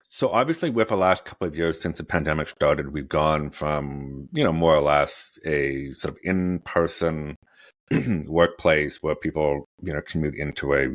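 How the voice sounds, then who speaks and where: Podcast host, forties, man, booming low voice, dry sound. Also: moderate pace, dry recording. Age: 40s. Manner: rambling, many fillers and restarts. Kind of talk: interview conversation.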